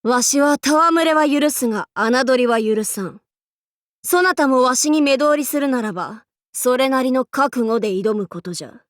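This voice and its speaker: High-pitched, swaggering girlish demon-king warlord. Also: unhurried, dry recording, studio quality. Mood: stern, menacing. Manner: archaic lordly speech, haughty, abruptly switching to goofy. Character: boastful young female demon-king warlord, imperious yet playful. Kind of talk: Japanese anime game character voice lines.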